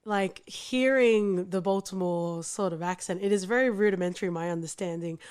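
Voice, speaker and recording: A woman with an Australian accent hosting a podcast, chatty and quick to laugh. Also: medium pitch, darkish tone, clear, resonant tone. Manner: chatty, laughs often, trails off and restarts. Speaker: podcast host. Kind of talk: casual podcast chat.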